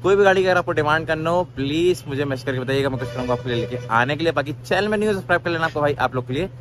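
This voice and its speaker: Fast-talking young man vlogging outdoors. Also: on the high side. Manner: chatty, asks questions, repeats "okay, okay". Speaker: car vlogger host. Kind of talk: casual vlog walkthrough. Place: outdoor used car lot.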